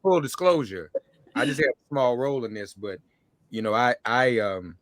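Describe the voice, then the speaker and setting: A man's voice: mid-range voice, normal speed, dry recording. A man hosting a casual conversational interview, with informal slang and joking asides. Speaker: interview host.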